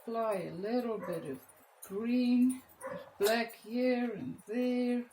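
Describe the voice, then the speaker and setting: A woman's voice: a little high, slow, warm tone. A woman with an Afrikaans accent, chatting with viewers as she teaches a painting lesson and calling out cheerful exclamations as she works. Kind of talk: casual step-by-step painting lesson. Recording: echoey room with faint background noise.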